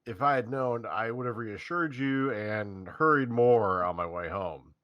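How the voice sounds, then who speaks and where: A man's voice: medium pitch, measured pace, lo-fi sound, close to an everyday voice. A man reading a flustered, stammering teenage boy. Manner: stammering, nervous repeats. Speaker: reader in a staged reading. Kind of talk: casual script read-aloud.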